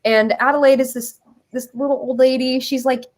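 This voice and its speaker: A woman's voice: high-pitched, fairly even intonation, normal speed. A woman telling a story in casual, informal chat as an interview guest, with a giggly manner. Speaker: guest presenting a musical.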